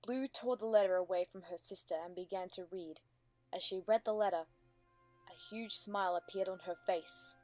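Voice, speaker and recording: A woman's voice: medium pitch, moderate pace, a little mellow, no echo, soft background music, rough recording. A woman reading scripted story narration as the narrator of a dramatic reading.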